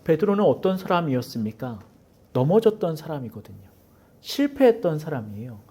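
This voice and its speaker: A man's voice: fairly high pitch, big pitch swings, fairly quick, dark tone, breathy edge. A man from Seoul preaching a sermon as pastor of his church. He preaches dramatically, acting out quoted voices and sometimes dropping to a near whisper. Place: church sanctuary.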